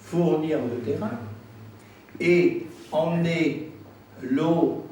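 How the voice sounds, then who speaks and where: The voice reaches a distant microphone in a very echoey room with faint noise. It is a man with a slightly high pitch, answering at a council meeting. He explains his case insistently and deliberately, without a script. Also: warm tone. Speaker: president of an intercommunal council answering questions.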